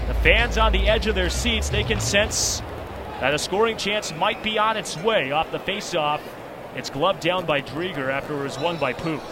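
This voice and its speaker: A man giving live radio play-by-play of ice hockey, with clipped, present-tense calls. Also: high-pitched. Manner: clipped present-tense calling, rising to excited calls at big moments. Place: broadcast position high above the rink in an arena.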